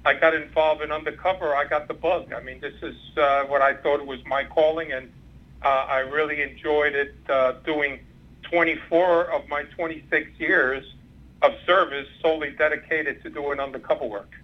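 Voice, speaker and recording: A man's voice: on the high side, fairly even intonation, normal speed. A man in his sixties, born in Cuba and a native Spanish speaker, speaking as an interview guest over the phone. He talks in a rambling way, with many fillers and restarts. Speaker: former undercover FBI agent, guest. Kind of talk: interview, recounting career. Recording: muffled phone line.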